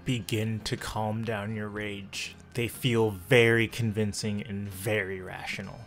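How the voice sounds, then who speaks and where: A man's voice: on the low side, little pitch movement, a little slow, fairly bright tone, soft background music. A man narrating a tabletop roleplaying game as game master.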